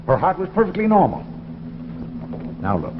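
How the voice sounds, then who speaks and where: An actor playing a grave, insistent doctor, speaking emphatically with dramatic pauses in 1950s radio drama style. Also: male voice, sits high, huge pitch swings. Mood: intense, mystified. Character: grave, insistent male doctor confiding in his friend. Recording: dull, noisy vintage radio recording.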